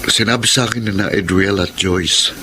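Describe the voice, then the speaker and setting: An actor playing an earnest, devoted suitor in a Filipino radio drama, with a Filipino accent. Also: male voice, lowish voice, steady tone, normal speed, noisy background, natural voice. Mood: earnest, pleading. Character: earnest, devoted male suitor, gentle and steadfast. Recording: prominent music under the voice.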